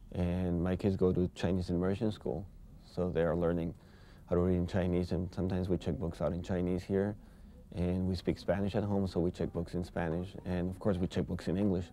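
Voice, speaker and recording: Male parent interviewee, 40s, low and very dark mellow voice, informal testimonial. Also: slight background noise. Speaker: parent and library patron interviewee. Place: indoors in a library.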